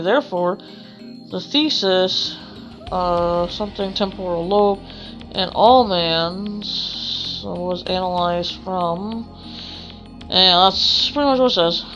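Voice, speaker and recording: A woman reading a visual novel aloud as a let's play, with a halting delivery that corrects itself. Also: mid-range voice, fairly bright tone. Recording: dull lo-fi mic with music behind. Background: game music.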